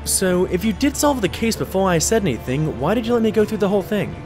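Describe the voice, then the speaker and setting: An actor playing a mild, earnest pony doctor who narrates and speaks politely as a detective's companion in an audio drama. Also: male voice, sits high, sing-song, fast, reverberant, recorded from a distance, music in the background. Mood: puzzled, polite. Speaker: narrator and sidekick.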